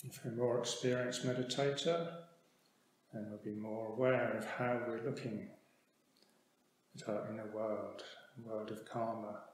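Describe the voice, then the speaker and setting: A man teaching a guided meditation in a calm, measured manner with long pauses. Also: on the low side. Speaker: Buddhist monk meditation teacher. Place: meditation hall. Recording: very echoey hall, faint background noise.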